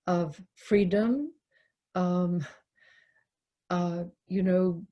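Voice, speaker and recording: A woman leading a poetry discussion on a video call, explaining reflectively in deliberate, halting phrases with pauses. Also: fairly low pitch, dark tone. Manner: halting, deliberate, pausing between short phrases. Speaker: poetry reader and discussion leader. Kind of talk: reflective spoken commentary, unscripted teaching. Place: online video call talk.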